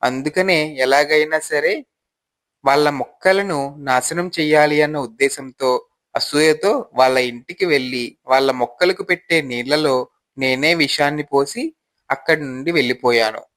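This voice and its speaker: A man's voice: fairly high pitch, normal speed, a bit bright, no room sound, close to an everyday voice. Envious village gardener played by a man, dry close sound. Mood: guilty, remorseful. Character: envious village gardener husband, brooding, finally remorseful. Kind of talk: moral storybook acting.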